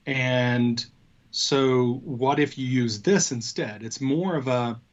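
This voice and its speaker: A man co-hosting a casual tech discussion, talking in a halting way as he thinks aloud. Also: medium pitch, muffled recording. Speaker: co-host of a tech show.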